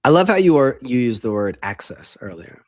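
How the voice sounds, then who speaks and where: A man's voice: medium pitch, sing-song, a little fast, no room sound, clean studio sound. A man hosting a podcast, asking long open questions and affirming his guest. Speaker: podcast host. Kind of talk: conversational podcast interview.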